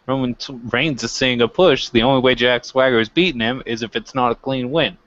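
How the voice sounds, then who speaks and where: Man, podcast co-host, mid-pitched, muffled lo-fi sound, blunt, sweary, self-deprecating banter. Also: brisk, a bit bright. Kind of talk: casual wrestling banter. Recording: dull lo-fi microphone sound.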